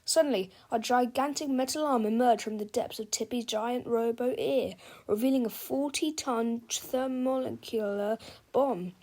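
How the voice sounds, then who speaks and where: Female storybook reader: high-pitched voice, measured slow pace, dramatic read-aloud narration for children. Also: a little mellow.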